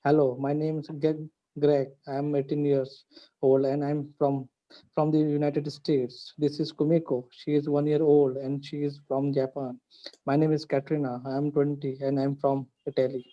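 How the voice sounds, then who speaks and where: Dark flat voice, man reading aloud. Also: on the high side, moderate pace, highs cut off. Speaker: student.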